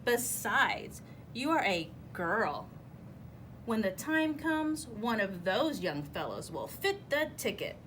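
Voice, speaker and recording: A woman's voice: fairly high pitch, big pitch swings, takes it slowly, fairly bright tone, a little hiss or hum, heavily acted. Woman as a grumbling old uncle groundhog. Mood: grumbling, dismissive. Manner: grumbling, dismissive.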